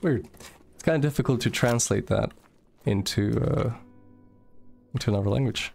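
A man's voice: medium pitch, moderate pace, vocal fry, darkish tone, soft background music. A young man from Moldova, chatting casually while streaming a game, swearing freely and reading chat aloud. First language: Russian.

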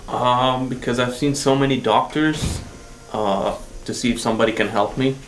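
A man's voice: medium pitch, normal speed, faint music behind. A middle-aged man from Egypt, a patient, answering questions in a clinic room in earnest, brief replies with some hesitation. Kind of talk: interview answers. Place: dental clinic treatment room. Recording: echoey clinic room, faint hiss.

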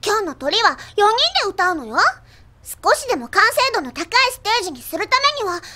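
A woman's voice: extremely high pitch, big pitch swings, brisk, bright, forceful tone, exaggerated voice. A woman playing a bossy, easily exasperated teenage idol leader in an anime audio drama, haughty and scolding.

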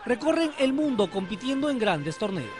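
A man's voice: very high-pitched, very animated, moderate pace, over music. A man narrating a scripted television feature.

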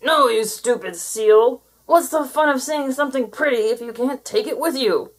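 A woman's voice: high-pitched, normal speed, pitched above the performer's own voice. A woman voicing a big, greedy male monster in a growling, gloating storybook manner. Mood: raging. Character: big greedy male monster who hoards pretty things.

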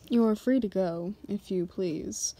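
A woman plays a self-assured female boss in an intimate ASMR roleplay. She speaks slowly in a dark, soft-edged tone. Her delivery is controlled and teasing, as she toys with an employee. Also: mid-range voice, no room sound.

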